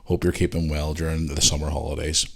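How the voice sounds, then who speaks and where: A man's voice: rumbling low, little pitch movement, fast, creaky, husky. A man with a Northern Irish accent hosting a podcast, chatting casually and informally as he goes through listener messages. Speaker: podcast host answering listener questions. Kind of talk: casual chat and reading out messages.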